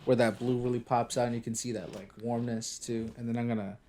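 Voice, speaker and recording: A man's voice: mid-range voice, little pitch movement, fast, a crowd in the background. Young Canadian man co-hosting a podcast; playful, teasing, easygoing banter. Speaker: podcast co-host. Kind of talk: casual chat.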